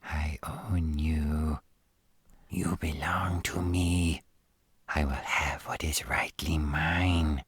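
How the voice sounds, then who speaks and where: A man voicing a sinister, possessive gremlin villain in a roleplay audio drama, in a raspy, manipulative whisper full of menace. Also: a bass voice, fairly even intonation, dry recording, voice pushed up, heavily acted. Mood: possessive, menacing. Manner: slow, menacing, insinuating.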